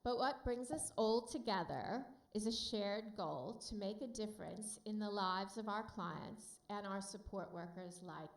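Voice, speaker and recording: A woman with an American accent giving a friendly, loud conference talk with many fillers to a room of support workers. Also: medium pitch, creaky, reverberant. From United States. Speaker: company speaker at a summit. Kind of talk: conference presentation.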